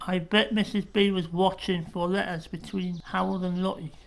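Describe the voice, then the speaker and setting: A man's voice: high-pitched, level tone, moderate pace, gravelly, rough recording. A man playing a forgetful, downcast young war veteran in a period audio drama. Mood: subdued.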